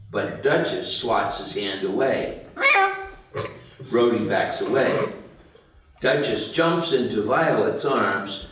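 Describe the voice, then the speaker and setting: A man reading out stage directions in scripted narration at a table read. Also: medium pitch. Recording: very echoey room, distant mic.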